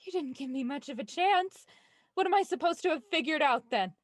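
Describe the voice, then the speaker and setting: Woman playing a scared young woman, high voice, challenging, emotional, dry close sound, audio thriller. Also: lively intonation, fairly quick, no room sound. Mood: wary, defiant. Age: young adult. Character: frightened, defiant young female actress under threat.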